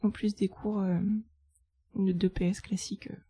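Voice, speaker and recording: A woman in her thirties from France, chatting about her path in a relaxed way. Her voice is very dark and mellow, and the recording is dry and close. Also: mid-range voice, normal speed, husky, no echo. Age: 30s. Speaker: guest, chartered accountant. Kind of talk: casual interview.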